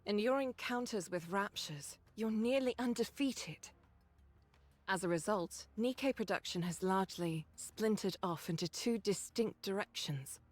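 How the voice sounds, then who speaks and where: An actress playing a composed squad leader in a game cutscene, giving briefings in a measured, formal, authoritative way. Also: female voice, mid-range voice, lightly acted. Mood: composed, explanatory.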